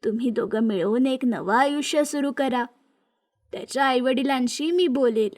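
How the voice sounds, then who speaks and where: Caring, anxious older mother of a grown daughter, played by a woman narrator, for an animated tale. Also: sits high, moderate pace, natural voice. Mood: glad, supportive.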